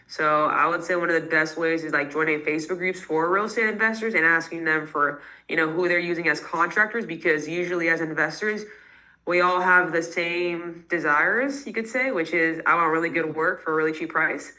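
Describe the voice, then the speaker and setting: A woman answering callers' real estate questions in a live call, casual and quick with many fillers. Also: deep voice, sharp tone, poor audio.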